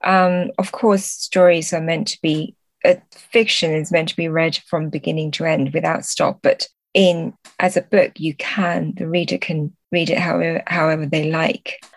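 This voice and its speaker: A woman's voice: sits low, fairly even intonation, normal speed, hardly any pauses, no room sound. A woman speaking as a podcast guest and translator, explaining literature thoughtfully and often searching for her words.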